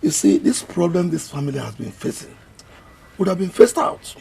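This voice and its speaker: An actor playing a scheming uncle who speaks slowly and deliberately. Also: male voice, high-pitched, sing-song, dark tone, slight background noise, exaggerated voice. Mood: persuasive. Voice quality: gravelly, slow and deliberate. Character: scheming uncle pushing a deity on his kin.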